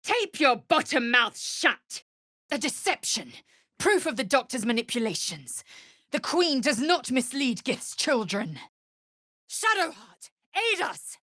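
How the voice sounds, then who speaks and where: A woman playing a proud, fierce githyanki warrior in a fantasy video game, with a husky, raspy voice and a clipped, imperious, formal delivery. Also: high voice, sing-song, slow pace, bright, forceful tone, no echo, clean studio sound, exaggerated voice. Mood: fierce, urgent.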